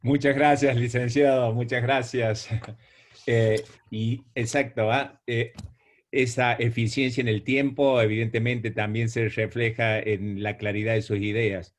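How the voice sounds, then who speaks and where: A man's voice: mid-range voice, flat delivery, unhurried. A man moderating a panel discussion, speaking politely and often hesitating.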